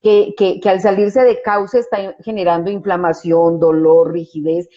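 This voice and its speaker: A woman psychologist answering interview questions in a mellow, warm voice. She restarts her phrases often and keeps checking for agreement. The sound is slightly muffled and lo-fi. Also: lowish voice, steady tone, measured pace. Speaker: psychologist guest.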